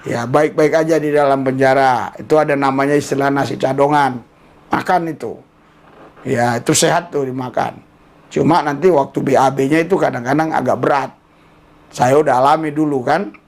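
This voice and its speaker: A man's voice: medium pitch, steady tone, moderate pace. A man gives casual commentary with a preaching bent, mocking and repeating himself for emphasis. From Indonesia.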